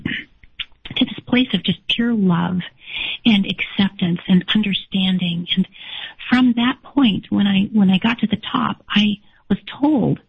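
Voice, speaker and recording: A woman whose first language is German, hoarse today, telling her life story earnestly as an interview guest, often restarting her sentences. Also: mid-range voice, slow. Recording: tinny phone-like line.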